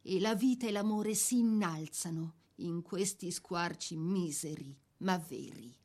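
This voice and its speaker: A woman's voice: fairly low pitch, a little slow, a little mellow. A woman narrating a literary drama from a script, with articulate, emphatic phrasing.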